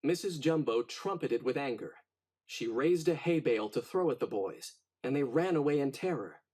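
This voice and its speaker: A man narrating a children's storybook in an expressive, dramatic read-aloud style. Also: medium pitch, normal speed, husky.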